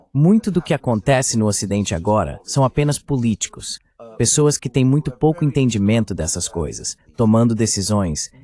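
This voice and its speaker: A man's voice: on the low side, darkish tone. A man voicing a translated political discussion, giving critical, opinionated commentary.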